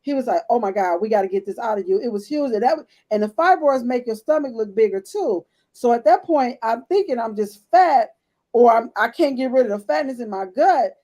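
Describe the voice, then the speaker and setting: A woman's voice: on the high side, lively intonation, a little fast, warm tone. A woman in her late fifties candidly telling her own health story in a casual chat, speaking straight to the women listening. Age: 50s. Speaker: podcast co-host.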